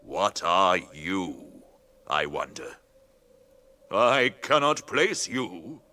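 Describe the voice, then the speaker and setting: Ancient tree creature, super slow n ponderous, hums and muses, forceful bright edge, radio drama. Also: male voice, low voice, big pitch swings, heavily acted. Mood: musing. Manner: ponderous, deliberate, humming and musing.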